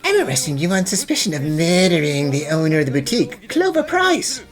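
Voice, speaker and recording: A woman voicing a haughty, brusque chief police inspector in an animated fan dub, pompous and declamatory. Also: fairly low pitch, brisk, faint music behind. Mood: official.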